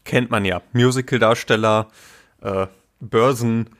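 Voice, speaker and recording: A young man hosts a casual podcast interview. He talks in a chatty, joking way, with plenty of filler sounds. His voice sits at an ordinary middle pitch. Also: steady tone, unhurried. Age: young adult.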